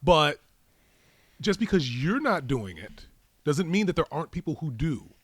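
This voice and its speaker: A man with a lively, swooping and fairly high voice argues his points on a casual podcast. He leans on rhetorical questions and incredulity, recorded close and dry. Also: a little slow, slightly rough, no echo. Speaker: podcast co-host. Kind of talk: casual debate and banter.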